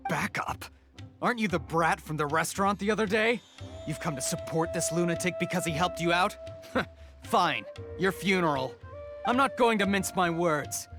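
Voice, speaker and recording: A man's voice: high voice, normal speed, lightly acted. A man playing a cocky, menacing, hot-tempered thug henchman with a sneering delivery in a video game. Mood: sneering, threatening. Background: background music.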